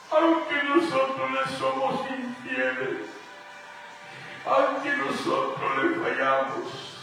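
Man, preacher, fervent and drawn-out, distant from the mic, soft music behind. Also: very high-pitched, vocal fry, heavy reverb. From Guatemala. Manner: fervent, drawn-out preaching, sometimes half-sung. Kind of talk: sermon.

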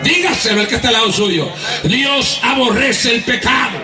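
A man's voice: extremely high pitch, moderate pace. A man with a Honduran accent preaching a fervent evangelical sermon, emphatic and often laughing as he calls out for praise and applause. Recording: echoey church hall miked from a distance.

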